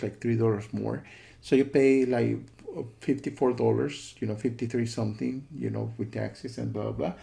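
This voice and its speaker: A man's voice: mid-range voice, vocal fry, warm tone. A man giving a casual, disapproving spoken review, repeating himself and often checking in with "okay" and "you know.". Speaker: software reviewer.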